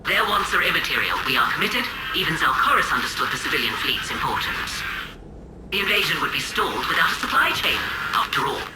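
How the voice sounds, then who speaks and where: A haughty alien admiral in a sci-fi game. Her formal, imperious voice comes through an echoing radio comm, with music clearly behind it. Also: low-pitched, sing-song, a little fast. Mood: cold, imperious. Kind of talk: dramatic video game acting.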